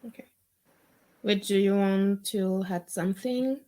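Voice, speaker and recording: A woman moderating a video-call film panel, in an unhurried, conversational way. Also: medium pitch, steady tone, dark tone.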